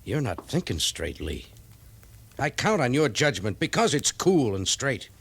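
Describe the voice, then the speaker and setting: A man playing a level-headed army major in 1950s western radio acting, firm and measured as a superior officer. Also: medium pitch, sing-song, gravelly, fairly bright tone, husky, full character voice. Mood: insistent. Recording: noisy 1950s radio drama recording.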